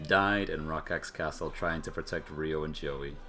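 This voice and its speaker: A man's voice: a bass voice, fairly even intonation, moderate pace, bright, forceful tone. A man streaming a game, reading story text aloud with brief casual remarks of his own. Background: music.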